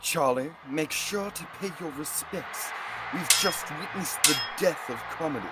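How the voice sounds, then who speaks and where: A young woman acting a sardonic sentient time-traveling book in radio theatre, with wry, deadpan quips. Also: sits low, a little slow. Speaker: student radio theatre actor. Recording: music behind the voice.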